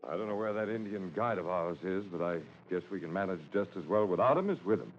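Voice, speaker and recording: A grave, authoritative older man in a vintage radio mystery. His voice is fairly low and moves expressively, with suspicion under the formal delivery. The recording is dull with faint noise. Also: expressive, fast talker. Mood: brisk. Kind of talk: old-time radio drama acting.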